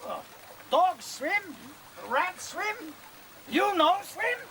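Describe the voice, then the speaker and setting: An actor playing a boisterous seabird in a radio play, with a foreign accent and exclamatory broken English. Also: male voice, very high voice, very animated, extremely slow, pushed and bright, heavily acted. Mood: urgent, brusque. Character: boisterous male seabird with a foreign manner of speech.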